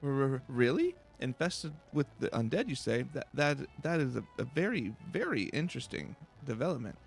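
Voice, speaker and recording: A man's voice: medium pitch, very animated, moderate pace, vocal fry, soft background music. A man voicing a proud, nervous female scholar from a fantasy game, formal and prone to stammering. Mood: flustered.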